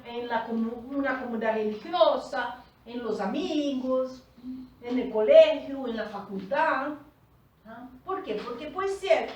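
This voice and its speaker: A woman coach, a native Portuguese speaker, teaching a group in Spanish and often checking in with her listeners. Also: high-pitched. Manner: explains slowly, often checking in with "¿tá?". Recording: echoey room, far from the mic.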